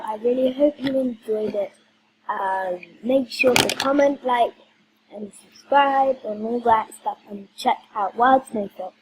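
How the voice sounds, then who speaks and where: Hoarse-ish woman vlogger, halting and unhurried, lots of filler, faint hiss behind. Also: high voice, mellow, rough recording. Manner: hesitant, many ums, chatty. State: losing her voice. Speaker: video host. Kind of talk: casual game walkthrough vlog.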